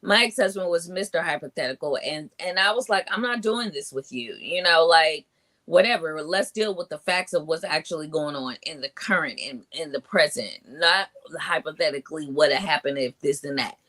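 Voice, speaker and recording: A woman's voice: low-pitched, normal speed, fairly bright tone. Exasperated woman losing her voice. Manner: exasperated, emphatic.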